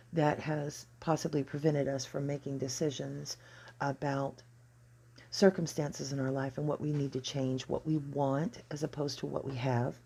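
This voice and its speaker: An astrology channel host explains a card reading at a deliberate pace, pausing between phrases. The voice is mellow and husky, with a gravelly creak running through it. The delivery is measured and explanatory. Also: mid-range voice.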